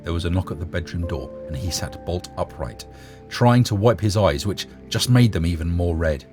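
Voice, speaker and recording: A man narrates a short story in a very low voice. His delivery is animated, with wide swings in pitch. Faint music plays underneath. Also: normal speed.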